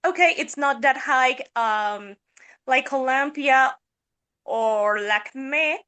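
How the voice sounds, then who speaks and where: A woman's voice: sits high, slow, pushed and bright. A woman soprano discussing singing technique on a podcast, speaking thoughtfully with many hesitations. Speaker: soprano singer.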